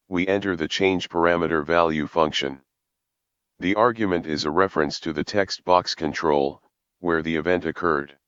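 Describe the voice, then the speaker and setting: A man presenting a scripted programming tutorial in a measured, explanatory way with formal wording. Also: deep voice, fairly bright tone, clear, resonant tone, no room sound, muffled recording.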